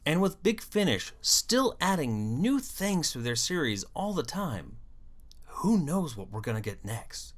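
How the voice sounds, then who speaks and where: A video host delivering scripted fan commentary in an animated, storytelling way with wry asides. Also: medium pitch, unhurried. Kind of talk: scripted fan commentary on an audio drama series.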